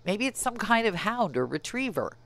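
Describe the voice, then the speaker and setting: A woman's voice: medium pitch, big pitch swings, moderate pace, a bit bright, no echo. A middle-aged woman podcast host telling humorous stories in casual chat, with a wry manner given to playful exaggeration.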